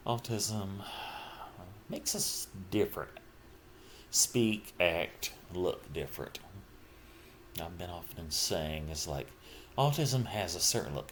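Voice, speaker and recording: Slow, halting low-voiced man. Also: slight background noise. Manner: halting, broken phrases with trailing pauses. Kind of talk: casual personal monologue, rambling reflection.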